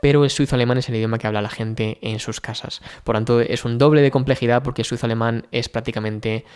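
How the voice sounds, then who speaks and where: Spanish guy vlogging, smooth clear voice, lots of ehs and restarts. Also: mid-range voice, a little mellow. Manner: chatty, thinking aloud with many fillers and restarts. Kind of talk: casual personal vlog talk.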